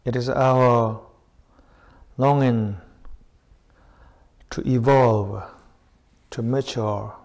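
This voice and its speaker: A man leading a guided meditation as a teacher, speaking in short, unhurried phrases with long pauses between them. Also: mid-range voice, steady tone, a little mellow. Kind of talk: slow guided meditation with spoken reflections.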